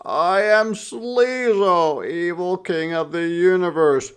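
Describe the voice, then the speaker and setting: A storybook reader voicing an excitable young boy bear who is eager, pleading and exclaiming. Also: medium pitch, takes it slowly, smooth, pitched above the performer's own voice, lightly acted. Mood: grandiose. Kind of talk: children's storybook read-aloud.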